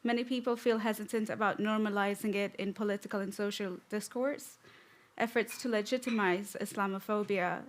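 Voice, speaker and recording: A young woman from Tanzania giving a conference talk on stage, relaxed and joking at times. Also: mid-range voice, a little fast, a little hiss or hum. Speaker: conference speaker. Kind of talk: prepared talk with light humor.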